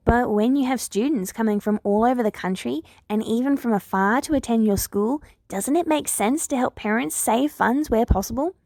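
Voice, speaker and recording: Woman doing eager polite student girl in an audiobook, making her case, high-ish voice, dry sound. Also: normal speed, hardly any pauses, a little mellow, no room sound, lightly acted. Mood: earnest, persuasive. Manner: earnest, polite, persuasive. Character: earnest, eager older girl, hero student.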